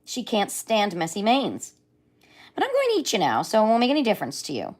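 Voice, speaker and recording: A woman voicing a grumpy, vain, hungry male lion in a storybook, yelling and blustering. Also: mid-range voice, huge pitch swings, brisk, pitched above the performer's own voice. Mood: fretful.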